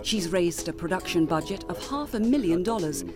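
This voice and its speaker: A woman's voice: on the low side, expressive, normal speed, music in the background. A woman reading scripted narration for a news feature.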